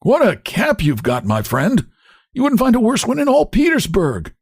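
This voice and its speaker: A man voicing an abusive young hunchbacked man with a cracked, shrill voice. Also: wildly swooping pitch, moderate pace, husky, no room sound, studio quality, heavily acted. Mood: jeering, impatient. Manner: shrill, bullying, jeering.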